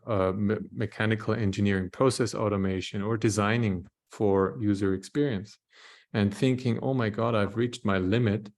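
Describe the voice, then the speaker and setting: A man from Austria, a panelist telling his story in a reflective, measured way. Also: lowish voice. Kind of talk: panel discussion.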